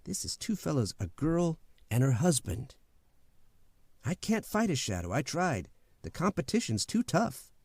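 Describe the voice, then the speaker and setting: A man's voice: a little high, very animated, a little slow, a little mellow, no room sound, studio quality, exaggerated voice. A man playing a blunt, easygoing, footloose suitor with a casual, candid manner in a radio drama. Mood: frank, earnest. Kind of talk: old-time radio drama acting.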